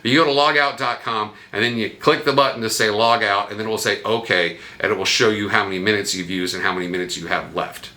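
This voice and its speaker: A man's voice: on the low side, fairly even intonation, fast, hardly any pauses, pushed and bright. A man hosting a vlog, explaining things casually and conversationally, sometimes asking himself questions aloud. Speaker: vlog host.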